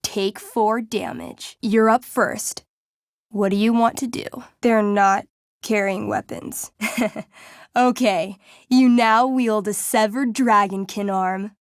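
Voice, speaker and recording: A young woman running a tabletop fantasy game in a video game. Her voice has a clear fry at the edges and is recorded close and dry. She takes her time, telling the adventure with theatrical suspense and a playful, casual streak. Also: medium pitch, fairly even intonation, slow pace, no echo. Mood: playful, vivid. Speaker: game master. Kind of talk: dramatic tabletop game narration.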